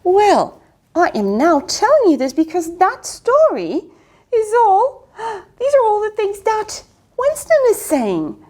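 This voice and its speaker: Animated woman reading to kids. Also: very high-pitched, slow. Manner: coaxing, asks the listener questions. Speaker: children's story reader. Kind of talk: interactive read-aloud for children.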